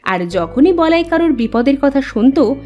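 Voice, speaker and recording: A woman's voice: high voice, very animated, normal speed, dark tone, lo-fi sound, faint music behind. A woman reading scripted narration for a cartoon story.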